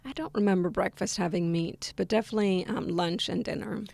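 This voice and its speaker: Young woman on a podcast, lowish gravelly voice, lots of ums, dry studio sound. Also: steady tone, a little fast, a little mellow, no echo. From Argentina. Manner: hesitant, many ums and restarts. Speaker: podcast guest. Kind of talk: conversational interview. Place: studio table across from host.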